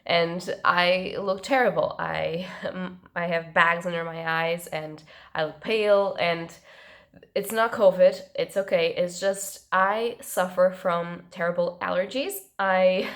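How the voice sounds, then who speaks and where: A woman's voice: on the low side, a bit bright. A woman vlogging about books in a casual, rambling, self-mocking way, her voice congested and coming through her nose from allergies. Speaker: book vlogger. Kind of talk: casual chat to camera.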